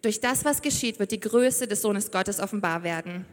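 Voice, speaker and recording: A calm, steady Jesus speaks with quiet authority in a Bible story , read aloud by a woman preacher. The voice rings out in a church hall with a long reverb. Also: medium pitch, normal speed, lightly acted. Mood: solemn.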